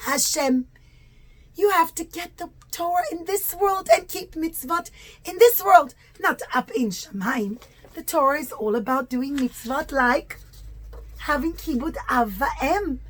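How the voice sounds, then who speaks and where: A woman's voice: sits high, fairly bright tone, rough recording. A woman telling a story to young children in simple, repetitive, emphatic phrases. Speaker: children's puppet show storyteller.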